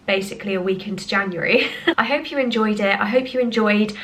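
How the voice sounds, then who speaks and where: A woman's voice: mid-range voice, brisk, fairly bright tone. A woman with a cold and a nasal voice, chatting upbeat to camera at home as a home decor vlogger. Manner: chatty and upbeat, frequent little laughs. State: has a cold. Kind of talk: casual chat to camera. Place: at home, indoors. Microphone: handheld camera.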